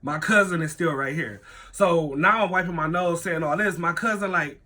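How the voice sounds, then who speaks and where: Young man, stuffy nose, high voice, very bright tone, slangy storytime vlog. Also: normal speed. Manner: animated, acts out his own thoughts in a higher voice; heavy use of "like" and slang, swears freely. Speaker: vlogger telling a personal story.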